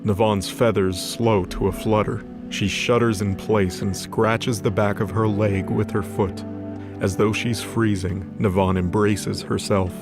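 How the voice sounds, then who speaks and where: Low-voiced man narrating dramatic fiction. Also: fairly even intonation, a little slow. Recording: music behind the voice. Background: music.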